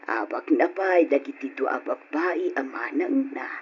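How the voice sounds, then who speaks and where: A woman playing a frail, confused elderly mother in a radio drama, stubborn yet doting, with a husky voice. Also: rumbling low, lively intonation, measured pace, a little hiss or hum. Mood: doting, wistful. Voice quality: husky, raspy. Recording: dull lo-fi radio drama recording.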